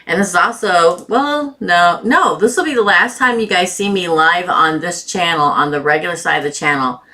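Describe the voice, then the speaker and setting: A woman's voice: on the low side, normal speed, pushed and bright. A woman hosting a tarot live stream with a sore throat, chatting casually and greeting viewers by name.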